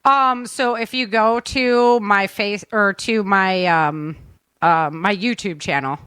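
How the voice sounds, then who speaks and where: Clear-voiced woman hosting a podcast, upbeat. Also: medium pitch, slow pace, fairly bright tone, no room sound, clean studio sound. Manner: upbeat, informal. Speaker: podcast host. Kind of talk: casual chat and sign-off.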